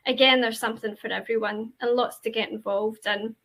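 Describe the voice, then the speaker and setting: A woman's voice: fairly high pitch, steady tone, a little fast, fairly bright tone. Glaswegian woman doing a uni presentation, keen and chatty, bit of um and uh. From Scotland. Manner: enthusiastic, promotional, with frequent fillers. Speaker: university representative. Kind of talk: presentation to prospective students.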